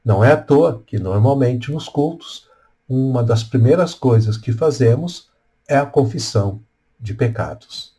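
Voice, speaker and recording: An older Brazilian man speaks as a pastor giving a devotional. His medium-pitched voice has a husky grain. He moves slowly and deliberately, pausing between short phrases. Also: animated, mellow. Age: older, around 60s. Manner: measured, deliberate phrasing with pauses. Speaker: Lutheran pastor. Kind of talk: devotional talk with scripture reading and prayer. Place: small room at home or office, indoors. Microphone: webcam at desk distance.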